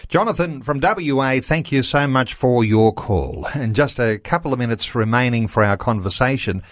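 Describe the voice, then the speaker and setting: A man with an Australian accent hosting a radio interview, pausing often with "uh". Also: mid-range voice, lively intonation, no room sound, clean studio sound. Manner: hesitant, with many "uh" fillers. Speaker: radio host.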